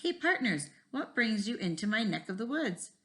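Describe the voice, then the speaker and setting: A woman's voice: a little high, very animated, moderate pace, fairly bright tone, voice pushed up. A woman voicing a friendly, easygoing male computer teacher in a storybook, with a folksy, explanatory way of talking.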